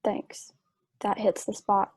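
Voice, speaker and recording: An actress playing a sick, weakened, trusting wife in a dramatic stage play reading, speaking wearily. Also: female voice, slow pace, darkish tone, natural voice. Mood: weak, weary. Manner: weak, weary.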